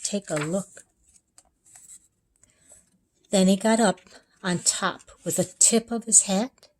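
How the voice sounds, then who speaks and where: A woman's voice: medium pitch, fairly even intonation, measured pace, darkish tone. Woman reading a children's storybook aloud. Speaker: kindergarten teacher reading aloud. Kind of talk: expressive children's storybook narration.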